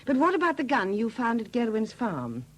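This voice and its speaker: An actress playing a weary, evasive, emotionally numb widow under suspicion in a 1970s radio drama, speaking in a guarded, defensive way. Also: female voice, fairly high pitch, huge pitch swings, moderate pace. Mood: bewildered, guarded. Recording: dated, faintly noisy 1970s radio recording.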